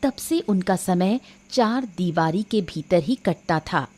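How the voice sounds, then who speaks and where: A woman's voice: fairly low pitch, animated, slow pace, warm tone. A woman narrating a scripted moral story. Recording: music behind the voice.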